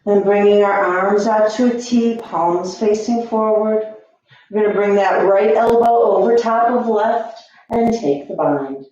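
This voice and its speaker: A woman leads a yoga class in a mellow, soft-edged voice. She speaks slowly, giving gentle, soothing cues and leaving long pauses between them. The sound is very echoey, as in a reverberant room. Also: mid-range voice, dull audio. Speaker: yoga teacher. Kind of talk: guided yoga and meditation instruction.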